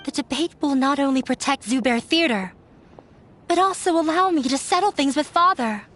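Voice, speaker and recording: A woman's voice: high voice, animated, moderate pace, fairly bright tone. An actress playing a young woman in a video game who ran away from home, speaking earnestly and with resolve. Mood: determined. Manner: earnest, growing determined. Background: game music.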